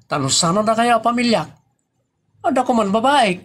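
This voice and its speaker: A man's voice: very high-pitched, lively intonation, moderate pace, a bit bright, heavily acted. A man with an Ilocano accent playing a carefree, hard-drinking man who whines defensively in a comedic audio drama. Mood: boastful, exasperated.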